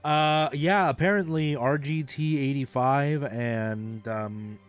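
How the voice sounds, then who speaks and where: A man chatting casually and a bit haltingly while he streams a game, thinking aloud in an informal way. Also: medium pitch, clear, resonant tone. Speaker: live streamer. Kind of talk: casual chat while gaming. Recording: music playing behind the voice.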